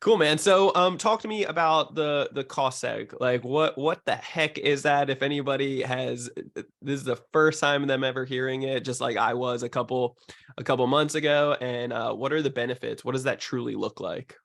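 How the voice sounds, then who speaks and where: A man's voice: medium pitch, moderate pace, gravelly, a bit bright. A man with an American accent, hosting a casual interview in an informal, slangy way. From New Jersey, United States. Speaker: podcast host.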